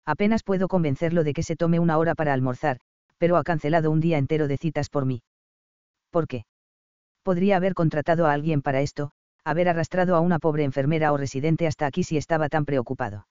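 Mellow man narrating an audiobook. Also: on the high side, fast, smooth, no echo. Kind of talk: first-person romance audiobook narration. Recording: slightly dull dry audio.

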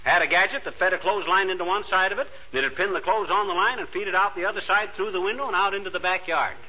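An actor playing a restless, boastful middle-aged husband, folksy and blustering, in 1940s radio comedy. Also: male voice, high-pitched, fast talker, bright, forceful tone, husky, full character voice. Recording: muffled old radio recording.

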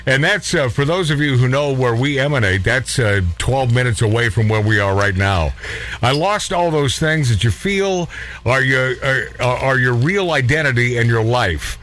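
Bright, forceful American male host. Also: mid-range voice, expressive, moderate pace. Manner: brash, ribald, rambling, emphatic. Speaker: talk show host. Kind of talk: unscripted opinionated talk-show banter.

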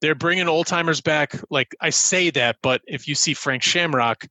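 A man's voice: on the high side, moderate pace, sharp tone, dry recording. A man co-hosting a podcast, chatting casually in a rambling, self-deprecating way. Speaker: podcast co-host. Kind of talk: casual chat.

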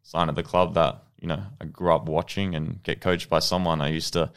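A young Australian man in his twenties, a guest telling his story in a relaxed, rambling way with lots of ums and restarts. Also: low voice, a little fast, smooth. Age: 20s. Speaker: podcast guest, goalkeeper. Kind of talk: casual interview storytelling.

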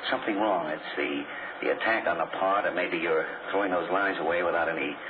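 An actor playing a tactful but dissatisfied director, halting and apologetic, in a 1940s radio drama. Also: male voice, deep voice, natural voice. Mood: tactful dissatisfaction. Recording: tinny, noisy 1940s radio recording.

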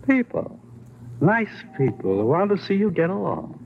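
A slippery, courteous mob lawyer in an old radio play. His voice is fairly high and swoops expressively as he talks in evasive, formal phrases. The recording is tinny and phone-thin. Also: huge pitch swings, moderate pace, creaky, a little hiss or hum, exaggerated voice. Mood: insinuating. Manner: evasive, unctuous, repeats himself formally. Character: oily, polite lawyer and go-between for a gangster. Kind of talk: 1940s-50s radio crime acting.

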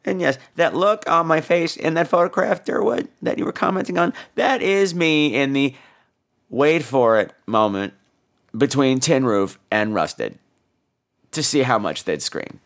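A man's voice: on the high side, lively intonation, unhurried. A man hosting a podcast while feverish with flu, chatting in a campy, teasing, theatrical way. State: feverish, ill with flu and laryngitis. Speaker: podcast host. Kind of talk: casual, rambling solo chat responding to callers.

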